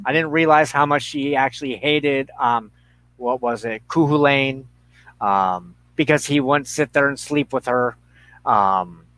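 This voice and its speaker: A middle-aged man in a reflective conversation, speaking haltingly and thoughtfully with pauses mid-sentence. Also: mid-range voice, fairly bright tone, no echo.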